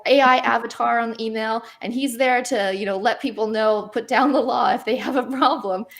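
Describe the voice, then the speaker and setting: Canadian woman with gravelly upspeak. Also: fairly high pitch, fairly even intonation, fairly quick. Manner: upspeak, rising intonation; frequent laughs and fillers. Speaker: guest site owner. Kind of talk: casual discussion.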